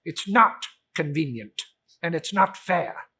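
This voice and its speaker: A man's voice: fairly high pitch, lively intonation, takes it slowly, sharp tone, slightly rough, exaggerated voice. A man playing a cold, miserly old businessman in a storybook reading, curt and scornful.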